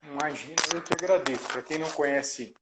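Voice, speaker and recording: A man's voice: a little high, a little fast, noisy background. A man, hosting a casual live chat in a friendly, chatty way. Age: 40s. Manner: friendly, chatty, laughs easily. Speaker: host.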